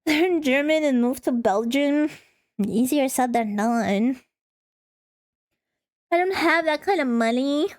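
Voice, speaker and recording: A woman's voice: high-pitched, a little mellow, no echo, clean studio sound. A woman streaming a visual novel, reading the text aloud and breaking into playful, casual asides. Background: faint music.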